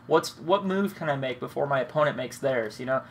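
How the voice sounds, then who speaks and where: A young man talks through his match in a relaxed, rambling way, dropping curses as he goes. His nose is blocked from a cold, giving him a congested, sniffly sound. His pitch sits in an ordinary middle range, with a faint hiss of background noise. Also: fairly quick. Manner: rambling, laid-back, swears freely. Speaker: gaming commentator. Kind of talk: casual commentary over gameplay.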